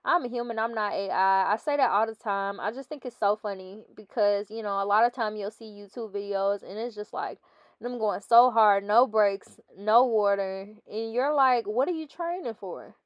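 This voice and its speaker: A young woman talks in a loose, chatty voice-over, her nose audibly stuffed up. Her voice stays smooth and clear despite the congestion. It is recorded dry and close, with a slightly dull, muffled top end. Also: medium pitch, normal speed, dry recording. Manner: chatty, laughing, talks to viewers as "guys". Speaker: fitness vlogger. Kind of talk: casual voice-over chat.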